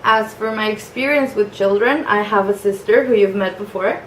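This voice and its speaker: Young woman, Mexican accent, Spanish native speaker, soft and hesitant, echoey room. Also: medium pitch, normal speed, a little hiss or hum. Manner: soft-spoken, hesitant with frequent fillers. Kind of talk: casual self-introduction video. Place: family home.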